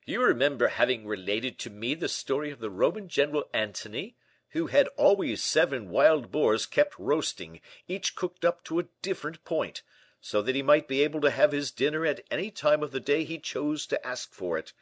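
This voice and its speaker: A man voicing a vain, rich, big nobleman in an audiobook, slow, emphatic and melancholy. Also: medium pitch, expressive, pitched above the performer's own voice, lightly acted. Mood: proud, emphatic. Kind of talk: audiobook character acting.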